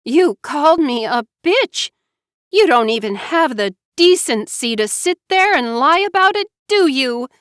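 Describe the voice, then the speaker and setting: Furious jealous sister, shrill accusing yells, high and loud, read by a woman. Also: expressive, a little slow, no room sound, clean studio sound.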